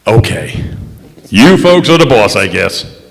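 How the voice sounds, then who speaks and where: A man's voice: mid-range voice, very animated, slow pace, creaky, heavily acted. A man playing a skeptical, wry investigator in old-time radio style, both narrating his case and pressing others for answers. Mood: impatient. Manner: wry, insistent. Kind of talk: 1940s-50s radio detective acting on stage. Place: live stage in a museum courthouse. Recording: echoey hall, music behind.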